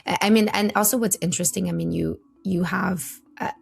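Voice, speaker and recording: Gravelly-voiced woman asking questions. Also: medium pitch, steady tone, brisk, soft background music. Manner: inquisitive, rephrasing her questions. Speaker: interviewer, host. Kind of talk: conversational interview.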